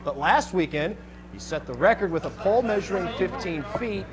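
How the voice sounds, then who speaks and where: An American man working as a TV sports anchor, reading highlights and sports news in punchy, excitable phrases. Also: brisk.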